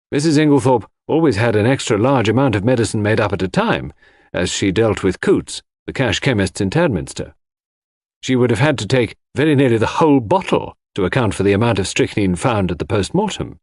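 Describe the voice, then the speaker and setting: A man's voice: lowish voice, sing-song, normal speed, darkish tone, no echo, studio quality. A man voicing an authoritative, scornful doctor giving formal, emphatic testimony in an audiobook. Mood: dismissive, certain.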